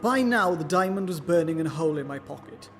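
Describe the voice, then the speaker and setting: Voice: medium pitch, expressive, fast talker. An actor playing a cowardly, whining manservant turned thief in a festive radio play, pleading and flustered. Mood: anxious narrating. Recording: long hall reverb, loud music underneath.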